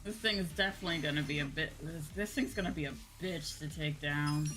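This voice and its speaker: A woman from the United States giving casual, animated, sweary game commentary as a streamer. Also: low-pitched, fairly quick, low-quality recording. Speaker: VTuber streamer. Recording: game music behind.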